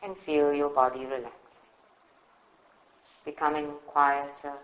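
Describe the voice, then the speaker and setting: Slow, echoey male meditation guide. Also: fairly high pitch. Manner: calm, soothing, measured instruction with long pauses. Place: indoor room at a group meditation session. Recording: roomy, echoing live recording.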